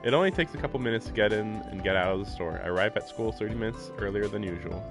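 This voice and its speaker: Chatty man with a lowish voice. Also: fast, over music. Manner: chatty, laughs often, filler-heavy. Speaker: streamer. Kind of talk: casual reaction and reading a game aloud.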